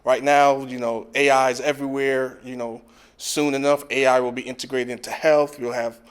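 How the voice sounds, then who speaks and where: A man presenting an online lecture over a video call. He talks in a relaxed, easygoing way, with plenty of "um" and "you know" between points. His pitch sits in an ordinary middle range. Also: normal speed, clean tone. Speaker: physical therapy professor giving a lecture.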